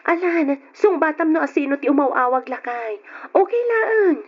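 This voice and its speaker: High-voiced Ilocano wife. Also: female voice, animated, normal speed, background noise. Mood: curious. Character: affectionate but questioning wife of a seaman. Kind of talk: old Ilocano radio drama acting. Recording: dull lo-fi radio drama with music.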